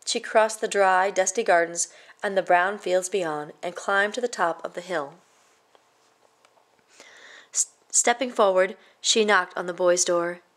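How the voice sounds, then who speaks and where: A woman storyteller reading a children's picture book aloud in a measured, expressive way. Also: lowish voice.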